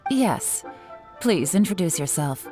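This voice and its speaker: A woman voicing a composed, businesslike, coolly sly official in a video game, measured and confident. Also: fairly low pitch, sing-song, music in the background. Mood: polite. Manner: measured, confident, matter-of-fact.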